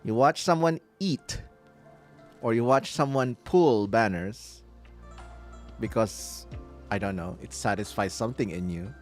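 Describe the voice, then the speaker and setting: A man's voice: fairly low pitch, measured pace, pauses often. A man streaming a game live, casually explaining things and chatting with his viewers. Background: game music.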